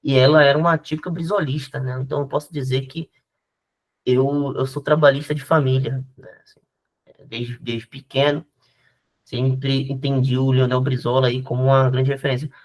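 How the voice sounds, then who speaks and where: A man's voice: medium pitch, little pitch movement, moderate pace. A man from Rio de Janeiro giving an informal history lecture over a video call, chatty, with frequent restarts and tag questions. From Rio de Janeiro, Brazil. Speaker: history teacher giving a talk. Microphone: video call microphone.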